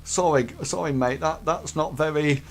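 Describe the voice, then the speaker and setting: Eager, stammering recruit, played by a man; high-ish. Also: moderate pace, lightly acted. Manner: stammering, hesitant. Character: nervous, eager young male recruit.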